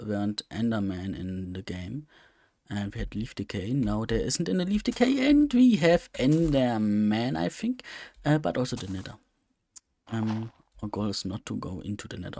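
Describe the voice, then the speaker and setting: A man commentates his gameplay in a casual, unscripted way. His voice is on the low side and gravelly with creak. He speaks very slowly and hesitantly, rambling as he thinks aloud. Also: darkish tone, no room sound. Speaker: let's play host.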